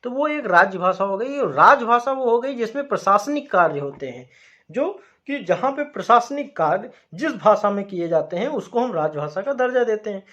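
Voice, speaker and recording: A man from India teaching a lesson, explaining slowly and repeating key points in an emphatic teacher's way. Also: sits high, expressive, a bit bright, highs cut off. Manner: repetitive, emphatic teacher phrasing. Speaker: educator.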